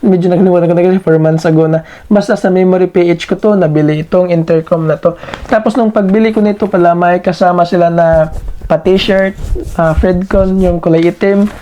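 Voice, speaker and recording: A man giving a casual hands-on product demonstration as a reviewer, halting and repeating himself as he searches for words. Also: high-pitched, fairly even intonation, dark tone.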